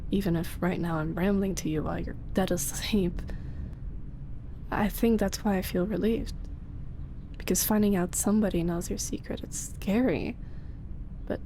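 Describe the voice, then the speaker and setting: A young woman voicing a shy roommate who secretly runs an ASMR channel, speaking softly in half-whispers with frequent small laughs and halting pauses. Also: on the low side, creaky, a little hiss or hum, poor audio. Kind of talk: ASMR audio roleplay.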